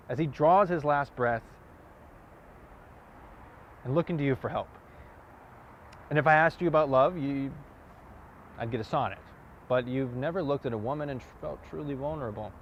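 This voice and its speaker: A man performing a dramatic monologue as a wounded, earnest man confronting someone, halting and repeating words for emphasis. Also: lowish voice, lightly acted. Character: wounded, earnest man confronting a cocky youth, grieving lover. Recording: dull lo-fi audio, clear outdoor noise.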